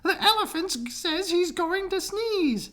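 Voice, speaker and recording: A woman voicing a flustered, pleading bird from a storybook in a shrill, dramatic way. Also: animated, a little slow. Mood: urgent warning.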